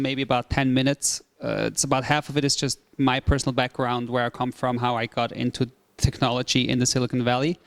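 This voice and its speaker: A man from Switzerland, a startup CEO in his thirties, giving a relaxed presentation talk with many fillers and repeated phrases. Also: medium pitch. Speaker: startup CEO, guest speaker.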